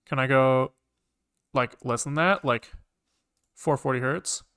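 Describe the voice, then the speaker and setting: A man's voice: medium pitch, slow pace, a bit bright, clear, resonant tone. A man talking casually to himself as he works through code on a live stream, informal and muttering. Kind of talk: casual think-aloud live coding commentary.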